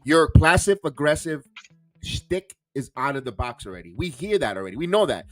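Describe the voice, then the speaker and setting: A man's voice: fairly high pitch, lively intonation, moderate pace, no room sound. A man hosting a wrestling podcast, ranting heatedly with many stumbling restarts and curses. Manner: fiery, stumbling repeats, swears. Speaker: podcast host.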